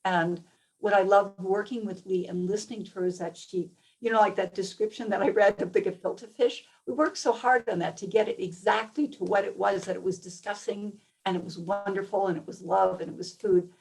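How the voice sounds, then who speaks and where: A woman from Minnesota giving a heartfelt personal reflection as a panelist, in a creaky, husky voice. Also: mid-range voice, steady tone, a little fast, a little mellow, poor audio. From Minnesota, United States. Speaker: writer, panelist.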